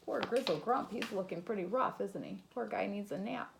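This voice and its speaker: A woman's voice: mid-range voice, moderate pace, vocal fry, noisy background. A woman teacher reading a children's picture book aloud, doing sound effects and asking her young listeners questions.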